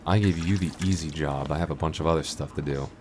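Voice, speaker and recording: A man playing a teasing, laid-back young vampire coworker on a store night shift, talking casually and a little haltingly in an intimate ASMR roleplay. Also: extremely low pitch, noisy background. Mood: sincere, friendly. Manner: hesitant, stammering, dryly teasing.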